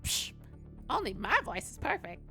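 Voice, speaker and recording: A woman voicing a shameless, cheeky little goblin reading out tips in a squeaky comic voice. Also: very animated, normal speed, sharp tone, exaggerated voice. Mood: smug. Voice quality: squeaky, creaky. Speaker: reader of viewer tips. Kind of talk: comedic character reading. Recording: clear music bed behind.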